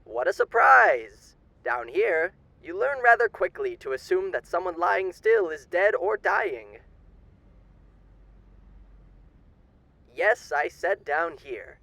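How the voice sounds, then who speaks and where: A man's voice: high voice, sing-song, unhurried, creaky, hardly any pauses, bright, forceful tone, dry recording, exaggerated voice. A man playing a suave demon overlord with theatrical, old-fashioned genteel diction in an audio roleplay. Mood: jovial, teasing. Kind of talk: ASMR character roleplay.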